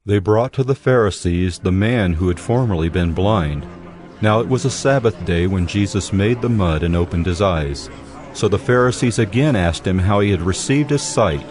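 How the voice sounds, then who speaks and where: A man giving measured, scripted narration for a dramatized audio Bible, in a storytelling manner. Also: low-pitched, animated.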